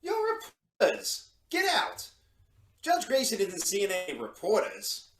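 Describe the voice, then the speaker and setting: A man's voice: sits high, huge pitch swings, a little slow, fairly bright tone, a little hiss or hum, full character voice. A man playing a jumpy, officious judge's aide in an old-time radio drama, flustered and curt, recorded in an echoey room. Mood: flustered, indignant.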